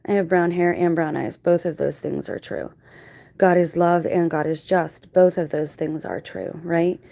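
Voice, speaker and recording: Female channel host, lowish pitch, informal rambling monologue, reflective and explanatory. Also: steady tone, unhurried, gravelly, dark tone. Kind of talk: casual rambling monologue to viewers.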